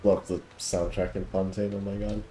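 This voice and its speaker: Low, mellow-voiced man commenting on a game. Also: level tone, normal speed, roomy sound, low-quality recording. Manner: offhand reactions, reads dialogue aloud. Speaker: gaming content creator. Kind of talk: casual game playthrough commentary. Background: game music.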